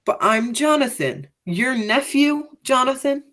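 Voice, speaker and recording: A woman's voice: high voice, animated, unhurried, a bit bright. A teenage girl playing a sinister criminal man in a school radio play, speaking in grandiose, theatrical phrases. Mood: insistent. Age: teens. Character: sinister, theatrical criminal nephew returning home.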